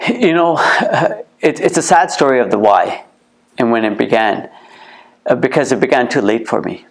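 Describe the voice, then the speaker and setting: A man's voice: mid-range voice, lively intonation, moderate pace, gravelly. A middle-aged man with a Canadian accent giving earnest interview answers and restarting sentences by repeating words. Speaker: museum co-founder, interview guest. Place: heritage museum.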